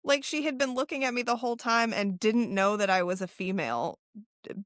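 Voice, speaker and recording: A woman's voice: fairly high pitch, brisk, no room sound, studio quality. Chatty young woman telling a story. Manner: animated storytelling with laughs and acted quotes. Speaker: podcast co-host. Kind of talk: casual chat.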